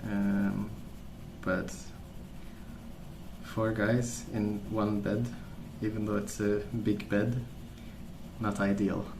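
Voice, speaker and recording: A man speaking softly as an ASMR host, rambling through stories in a hesitant, pausing, unhurried way. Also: on the low side, little pitch movement, creaky, background noise. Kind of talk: soft-spoken ASMR rambling.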